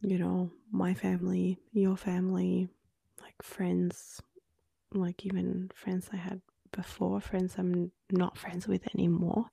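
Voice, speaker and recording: A woman in her late twenties from Norway, speaking English with a Norwegian accent tinged with Australian, co-hosting a candid podcast and often dropping to a hushed near-whisper. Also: on the low side, monotone, slow pace, gravelly, husky, no room sound. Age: 20s. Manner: soft-spoken, drifting into hushed near-whispers. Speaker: podcast co-host. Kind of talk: candid conversational podcast chat.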